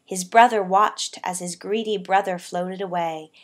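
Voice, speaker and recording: A woman with a slightly low voice narrates a poetic story for a puppet show. Her delivery is measured and deliberate, with phrases that soften as they close. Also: fairly even intonation.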